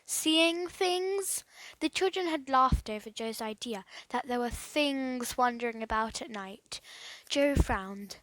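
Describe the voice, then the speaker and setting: A woman's voice: a little high, measured pace, a bit bright, dry recording, poor audio. A woman narrating a children's storybook aloud from the text. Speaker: storybook reader.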